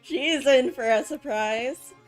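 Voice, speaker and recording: A woman's voice: high-pitched, slow pace. A woman game streamer giving casual, teasing reactions and wry asides during a playthrough. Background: game music.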